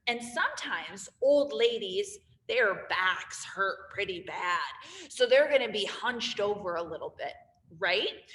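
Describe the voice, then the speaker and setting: A woman teaching a drama lesson to young students, explaining step by step and showing each idea as she goes. Her voice sits fairly high, with a long reverberant tail around it. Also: fairly bright tone, slight background noise. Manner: clear, demonstrative explaining with acted gestures. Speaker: theater teacher.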